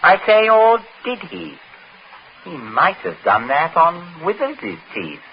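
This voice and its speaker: A suave, swooping captain. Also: male voice, fairly high pitch, wildly swooping pitch, takes it slowly, heavily acted. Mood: slyly pointed. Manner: dry, sardonic, urbane. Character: suave, cunning captain turning the tables on accusers. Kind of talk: 1940s radio acting. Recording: dull, hissy 1940s radio recording.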